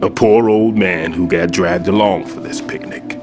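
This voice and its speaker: A weary older bomb disposal expert in a game cutscene, dry and self-deprecating. His voice is low, and clear music plays behind him. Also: unhurried. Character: old male bomb expert, weary and rueful.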